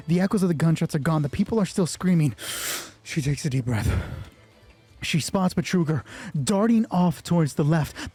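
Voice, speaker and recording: Male solo game master, high but mellow voice, thinking aloud through dice and story, excited and sweary. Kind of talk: improvised tabletop game narration with dice talk.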